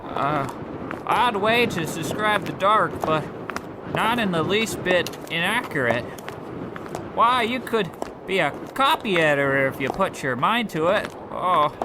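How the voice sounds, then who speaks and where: A man's voice: high-pitched, animated, measured pace. A man playing a meek, naive old government clerk who speaks hesitantly and wordily in an old-time radio comedy. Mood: naive, trusting. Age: older. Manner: hesitant, stammering, wordy and earnest. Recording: noisy outdoor street ambience with traffic.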